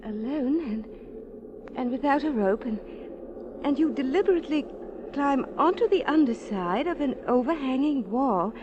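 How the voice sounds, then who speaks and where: An actress playing an earnest, grateful woman climber in a 1940s radio drama, halting in her speech, on a very noisy vintage broadcast. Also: high-pitched, expressive, muffled recording. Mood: curious. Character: breathless, grateful woman climber, doctor's wife.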